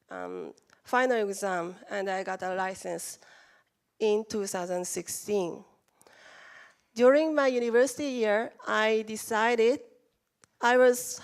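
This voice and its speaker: Slow, careful Japanese-accented woman speaker. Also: mid-range voice. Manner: halting, careful non-native phrasing with restarts. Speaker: conference speaker. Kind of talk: presentation talk. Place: conference room.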